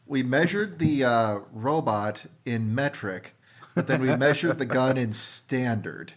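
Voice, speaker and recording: A man's voice: medium pitch, expressive, a little slow. A man on a podcast panel tossing in joking, mock-serious interjections with a teasing manner.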